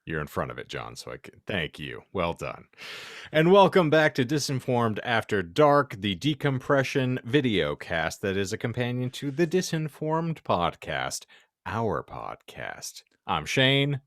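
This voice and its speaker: A man's voice: medium pitch, huge pitch swings, unhurried, no room sound, clean studio sound. A man hosting a comedic podcast, wordy and theatrical, rambling through florid, alliterative phrasing. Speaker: podcast host.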